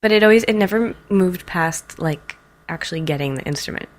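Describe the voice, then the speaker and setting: A woman's voice: on the low side, brisk. A woman from Israel chatting casually and playfully as an interview guest, informal and a little self-deprecating. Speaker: interview guest, jazz bassist. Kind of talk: casual chat.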